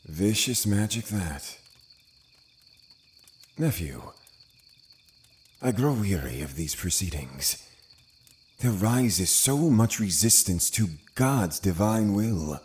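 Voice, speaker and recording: Deep, stern Puritan elder. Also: male voice, animated, darkish tone. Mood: grave, scornful. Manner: grave, measured, formal. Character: stern, pious older Puritan uncle and official. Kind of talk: period audio drama acting.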